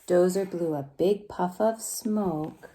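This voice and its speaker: A woman reading a picture book aloud to young children in an expressive, gentle way, pausing to ask them questions. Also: fairly low pitch, slight background noise. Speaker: preschool story time reader.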